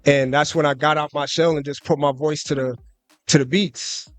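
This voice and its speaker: A man's voice: on the high side, normal speed, dry recording. Easygoing young man on a radio interview. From United States. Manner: relaxed, loose, with many fillers and "you know what I'm saying". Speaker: guest rapper and cameraman. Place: live concert radio booth.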